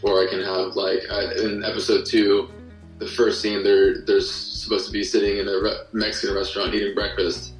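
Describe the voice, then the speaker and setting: A man with a fairly low voice talks casually as an interview guest, explaining things reflectively. The recording is roomy and a bit off the mic, with music behind him. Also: completely flat delivery, moderate pace. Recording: roomy, slightly distant-sounding, music underneath.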